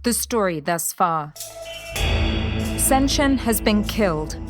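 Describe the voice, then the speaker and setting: Woman narrator, mid-pitched, measured, solemn, dramatic audio drama storytelling, clear music bed. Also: lively intonation.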